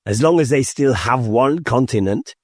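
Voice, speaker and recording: An earnest young rebel fighter , acted by a male narrator, speaks in an audiobook. The voice sits higher and is slightly husky, with a sober, reasoning manner. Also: lively intonation, normal speed, dry recording, studio quality, close to an everyday voice. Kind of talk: audiobook character dialogue.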